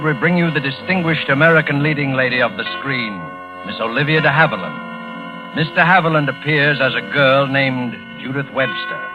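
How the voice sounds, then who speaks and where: An actor playing a suave, ominous mystery host, introducing a 1940s radio suspense play formally and deliberately. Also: male voice, medium pitch, close to an everyday voice. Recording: dull 1940s radio recording.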